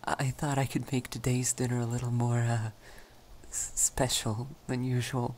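A man voicing a shy, flustered young male vampire in a soft ASMR roleplay, stammering and hesitant. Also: mid-range voice, flat delivery, normal speed, slight background noise, natural voice. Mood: flustered, bashful.

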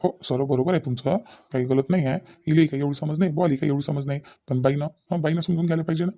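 A man's voice: a little high, fairly even intonation, very fast, warm tone, lightly acted. A man playing a concerned middle-aged village father in a comedy cartoon, reasoning earnestly with questioning tags, in a rural Vidarbha Maharashtrian accent. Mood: worried. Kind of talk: Marathi comedy cartoon dialogue.

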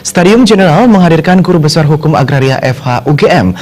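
A man's voice: on the high side, lively intonation, brisk, a little mellow. A young man presenting scripted news as a studio anchor. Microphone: lapel mic.

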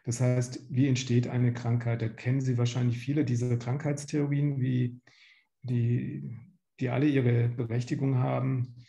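A man in his fifties from Germany presents a lecture in a very dark, soft-edged voice with a noticeable fry. He explains things step by step, pausing and feeling for words, and the sound is that of a video call from an echoey room. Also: mid-range voice, level tone. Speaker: medical lecturer, pediatric gastroenterologist. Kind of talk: slide lecture to patients. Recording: roomy home or office echo.

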